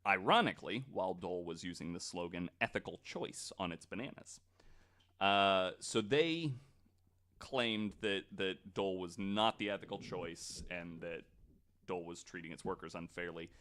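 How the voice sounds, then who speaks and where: A man presenting a researched story on a podcast in a casual, halting way, with informal asides. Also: low-pitched, fairly bright tone. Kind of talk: casual explanatory podcast talk.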